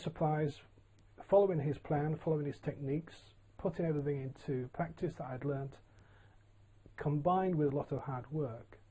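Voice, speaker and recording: An older man from Yorkshire telling his own career story in a relaxed, plain-spoken way, with frequent ums and uhs. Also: mid-range voice, a little hiss or hum, poor audio. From Yorkshire, England. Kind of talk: casual autobiographical monologue.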